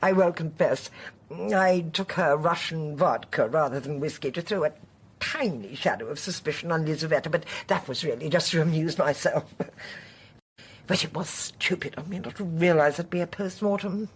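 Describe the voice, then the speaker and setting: A woman's voice: low-pitched, moderate pace, breathy edge. An actress playing a genteel, haughty older woman in a radio drama, a chatty and unrepentant poisoner with an imperious, emphatic manner. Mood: coolly boastful.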